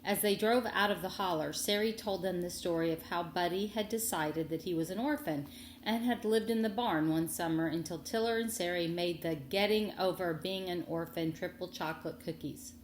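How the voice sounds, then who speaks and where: A woman narrating a storybook aloud in an expressive reading style. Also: lowish voice, moderate pace. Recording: echoey room, faint background noise.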